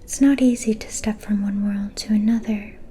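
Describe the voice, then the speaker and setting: A woman's voice: mid-range voice, steady tone, moderate pace, dark tone, slight background noise, close to an everyday voice. A woman playing an ancient, cryptic vampire seer in an ASMR roleplay, solemn, portentous and mysterious in manner. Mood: knowing, musing.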